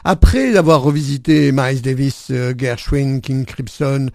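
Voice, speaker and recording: Gravelly French radio host, hesitant. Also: male voice, mid-range voice, measured pace, a little mellow, no echo, studio quality. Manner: hesitant, many fillers. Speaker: radio jazz show host. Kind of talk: casual radio presenting. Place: radio studio.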